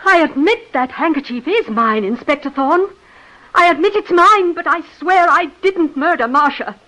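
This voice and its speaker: A woman's voice: high-pitched, expressive, normal speed, sharp tone, low-quality recording. An actress playing a bitter, defensive female murder suspect, emphatic and dramatic, in an old 1950s radio drama recording. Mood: pleading.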